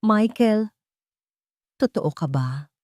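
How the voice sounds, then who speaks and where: Frail long-lost wife played by a female narrator, weak cracked voice, raised pitch, storybook drama. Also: lively intonation, extremely slow, darkish tone, dry recording, clean studio sound. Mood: disbelieving. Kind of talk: audio storybook character acting.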